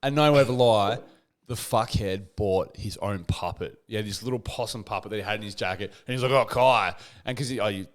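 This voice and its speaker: A man's voice: fairly low pitch, a little fast. A man who does stand-up comedy, chatting casually and telling stories with plenty of swearing. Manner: relaxed storytelling, swears a lot. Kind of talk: casual chat.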